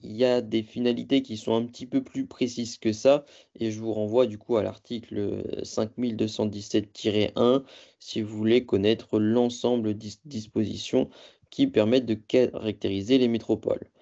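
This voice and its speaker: A man presenting a training lecture from slides, explaining in a halting, semi-improvised way and often correcting himself. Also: fairly low pitch, steady tone, creaky, warm tone, highs cut off. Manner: halting, self-correcting delivery. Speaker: presenter of a training course on local government.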